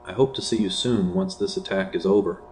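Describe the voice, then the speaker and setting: A man playing a worried, gruff boyfriend in an amateur horror drama, speaking in a terse, casual way. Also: brisk, close to an everyday voice. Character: gruff, worried boyfriend searching for his girlfriend. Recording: low voice under loud noise, clicks.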